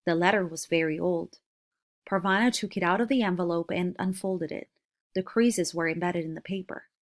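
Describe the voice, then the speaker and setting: A woman's voice: fairly low pitch, steady tone, normal speed. A woman reading a children's novel aloud as a storybook narrator.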